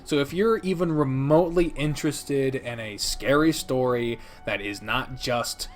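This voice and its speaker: A man giving a casual, unscripted video review as host, speaking emphatically and theatrically with dramatic pauses. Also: medium pitch, soft background music. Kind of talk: casual scripted-free game review commentary.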